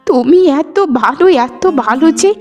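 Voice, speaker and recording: A woman voicing an elderly, sentimental village mother in a cartoon, talking in an emotional, drawn-out way. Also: high-pitched, lively intonation, soft background music. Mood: affectionate. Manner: emotional, drawn-out address, repeats phrases. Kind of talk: Bengali cartoon voice acting.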